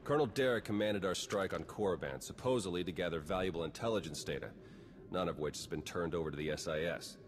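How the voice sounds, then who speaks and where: A man's voice: sits low, fast, natural voice. An actor playing a wry, cynical male spy in a video game, dry and understated. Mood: briefing, determined. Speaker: intelligence agent character. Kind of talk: video game cutscene acting. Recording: long hall reverb, noisy ambience behind.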